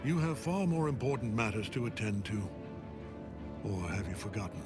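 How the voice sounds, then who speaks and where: An actor playing a cold, commanding, weary older general in a fantasy game, measured and disdainful. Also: male voice, fairly low pitch, expressive, music in the background, close to an everyday voice. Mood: quiet menace. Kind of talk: dramatic fantasy game acting.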